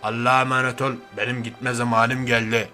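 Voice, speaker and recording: Man acting a brash, sharp-voiced macho cartoon oaf. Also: medium pitch, little pitch movement, fairly quick, soft background music, close to an everyday voice. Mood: sentimental. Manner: blustering, slangy, threatening then sentimental. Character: brash, grumbling, hairy macho man turned reluctant superhero. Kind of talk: cartoon parody character dub.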